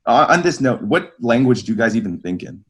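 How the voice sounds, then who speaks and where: A man with a mid-pitched voice muses out loud in casual talk, hunting for the right words. He talks freely with friends. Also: sing-song, brisk. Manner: musing, searching for words. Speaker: podcast guest. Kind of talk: casual chat.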